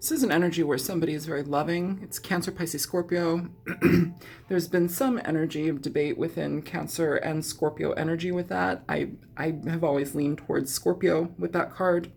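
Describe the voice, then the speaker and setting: A woman reading tarot in a chatty, meandering way, hoarse and scratchy from a cold, often clearing her throat. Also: low voice, steady tone, normal speed, a little mellow. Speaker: tarot reader. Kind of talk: casual tarot reading monologue.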